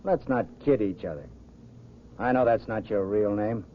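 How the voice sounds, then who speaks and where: A man's voice: fairly low pitch, normal speed, a little hiss or hum, close to an everyday voice. An actor playing a skeptical, streetwise underworld henchman in an old radio crime drama, blunt and slangy. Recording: muffled old radio recording.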